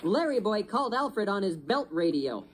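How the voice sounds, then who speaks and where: A woman's voice: mid-range voice, very animated, normal speed, a little hiss or hum. A woman reading a children's storybook as a dramatic narrator.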